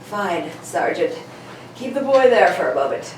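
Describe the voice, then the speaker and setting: A woman's voice: mid-range voice, sing-song, normal speed, lightly acted. A woman playing a confident, clever young male detective in an amateur comedic radio play reading. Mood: assured. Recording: echoey room, distant mic, faint noise.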